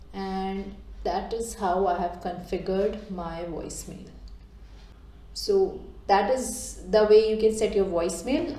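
Woman coach in echoey room. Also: medium pitch. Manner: calm step-by-step explaining with frequent ums and uhs. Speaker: digital transformation coach. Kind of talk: screen-recorded software tutorial.

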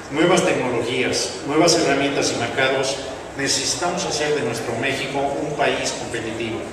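A middle-aged man from Mexico giving a formal, ceremonious speech as a business leader. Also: mid-range voice. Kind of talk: formal public speech at a podium. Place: large indoor exhibition tent with audience. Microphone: podium microphone, recorded from far in the crowd. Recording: echoey hall from far off, noisy.

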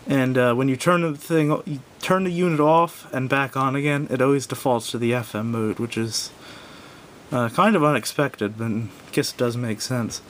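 A man giving a casual, unscripted hands-on product review in a hesitant, rambling, self-correcting way. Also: moderate pace. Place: indoors at a wooden table. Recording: noisy home recording with low rumble.

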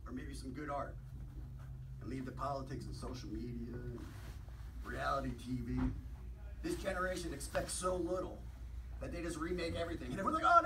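A man doing conversational, profane stand-up at an open mic, speaking straight to the audience in a small club. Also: mid-range voice. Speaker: stand-up comedian. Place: small comedy club room, indoors. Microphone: stage mic, picked up by far camera. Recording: distant, noisy camera recording in club.

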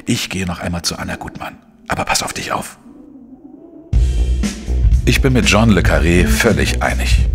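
An actor playing a world-weary, wry male investigative journalist, narrating a crime audio drama in a dry, measured way. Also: deep voice. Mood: hushed, cautious. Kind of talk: scripted first-person crime drama narration. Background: music.

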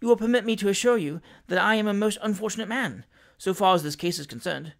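A man voicing a wronged, genteel gentleman in an audiobook, formal and earnest with wounded dignity. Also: sits high, fast talker, creaky. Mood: pained, incredulous.

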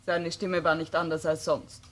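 Low-voiced, possessive mistress. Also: female voice, level tone, moderate pace, fairly bright tone. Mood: defiant. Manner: insistent, intense. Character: possessive, unyielding mistress shielding and pressuring her lover. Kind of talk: crime drama acting.

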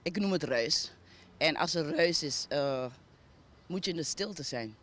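A woman's voice: low-pitched, expressive, measured pace, a bit bright. A woman with a Surinamese accent giving reflective, explanatory interview answers outdoors, mixing in English words. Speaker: interviewee, arts foundation founder. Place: outdoors by a street. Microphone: handheld interview microphone. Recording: outdoor ambient noise.

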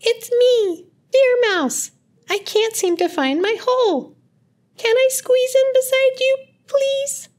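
A woman voicing a tiny, timid deer mouse in a children's story, with a squeaky little voice. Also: takes it slowly, darkish tone. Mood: pleading. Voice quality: squeaky, very high.

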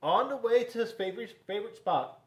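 A middle-aged man reads a picture book aloud to children in a classroom. His voice is fairly high for a man and very lively, with expressive, dramatic pauses. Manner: expressive, dramatic pauses, questions to young listeners. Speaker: school resource officer reading to children. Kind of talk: animated storybook read-aloud. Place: small school classroom, indoors.

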